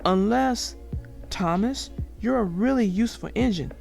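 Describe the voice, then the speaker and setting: An encouraging magical railway conductor from a storybook, acted by a woman. He speaks earnestly to spur others on, over clear music. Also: mid-range voice, expressive, a little slow, a little mellow.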